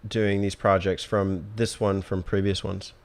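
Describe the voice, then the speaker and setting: A man's voice: low voice, little pitch movement, measured pace. A man hosting a casual interview, informal and encouraging as he thinks his questions through aloud. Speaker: podcast host.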